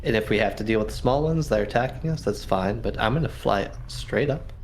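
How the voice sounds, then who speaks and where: A man's voice: fairly low pitch, animated, fairly quick, slight background noise. A man playing a tabletop roleplaying game, casually planning tactics with the group.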